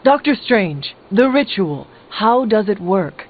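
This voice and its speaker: An actress playing a dry, blunt, impatient warrior heroine in a video game, talking tersely. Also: female voice, medium pitch, very animated, takes it slowly. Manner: terse, sardonic. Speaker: video game character actor. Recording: thin phone-like band, music behind.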